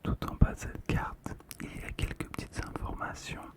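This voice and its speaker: An ASMR host whispering softly and slowly while presenting and commenting on collectibles in a hushed voice. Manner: whispers throughout, slow and hushed.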